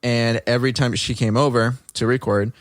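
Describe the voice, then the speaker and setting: A man's voice: mid-range voice, steady tone, normal speed, dry recording, studio quality. A man co-hosting a podcast in laid-back casual chat, rambling through stories and often saying "like". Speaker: podcast co-host.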